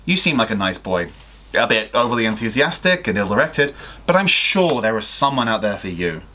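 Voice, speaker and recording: A man's voice: fairly low pitch, very animated, fairly quick, bright, forceful tone, heavily acted. A man voicing an exasperated teenage schoolgirl from a story, firm and emphatic but trying to be kind. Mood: restrained, firm.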